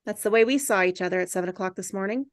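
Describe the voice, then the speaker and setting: A woman's voice: mid-range voice, racing, no echo, studio quality, natural voice. A woman reading the part of an earnest, mildly defiant teenage boy nerd in a film script table read.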